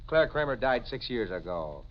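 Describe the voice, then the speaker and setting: Actor as indignant husband, very animated pitch. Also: male voice, moderate pace, lightly acted. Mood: angry, indignant. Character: indignant, stern husband facing an intruder. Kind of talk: 1940s radio mystery acting. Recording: dull, noisy 1940s radio recording.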